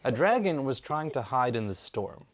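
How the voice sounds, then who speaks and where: Adult man, father, storybook read-aloud, mid-pitched voice, bedtime narration. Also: very animated, normal speed.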